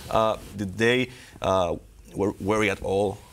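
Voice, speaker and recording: A man from Puerto Rico, a doctoral student, asking students measured interview questions. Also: fairly low pitch, steady tone, slight background noise.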